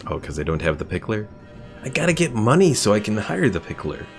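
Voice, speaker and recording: A man's voice: on the low side, sing-song, fairly quick. A man giving casual livestream commentary on a game and talking with his chat as host. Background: game music.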